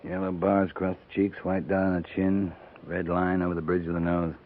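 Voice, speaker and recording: A tinny, hissy vintage radio recording carries an actor playing a frontier army captain. He is an American man with a lowish voice. He speaks in short, firm, steady commands. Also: a little fast, close to an everyday voice. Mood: terse, watchful. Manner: terse, firm, laconic. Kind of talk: 1950s radio drama acting. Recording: tinny, band-limited, noisy 1950s radio recording.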